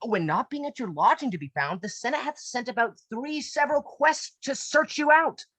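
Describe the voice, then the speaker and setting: Woman playing an urgent lieutenant, bright voice. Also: sits high, lively intonation, moderate pace, dry recording. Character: urgent, dutiful military lieutenant bearing news. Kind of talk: amateur Shakespeare radio play acting. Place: home, recorded over a video call. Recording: faint raspy video-call recording.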